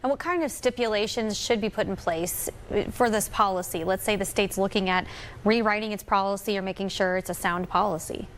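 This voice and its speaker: A woman's voice: medium pitch, brisk, a little hiss or hum. American woman anchoring local news. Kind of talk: broadcast news interview.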